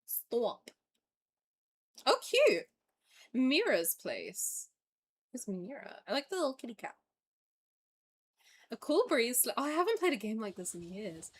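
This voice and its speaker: A woman's voice: a little high, sing-song, a little slow, long pauses, slightly rough. A woman in her twenties streaming a game, chatting casually and animatedly with viewers, sometimes swearing and reading game text aloud. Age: 20s. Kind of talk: casual live-stream gameplay chat.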